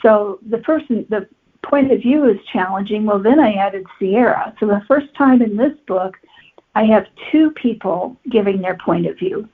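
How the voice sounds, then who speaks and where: A woman's voice: medium pitch, moderate pace, husky. A woman novelist being interviewed on a podcast, explaining her work in a conversational, matter-of-fact way. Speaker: guest novelist. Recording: tinny phone-like line.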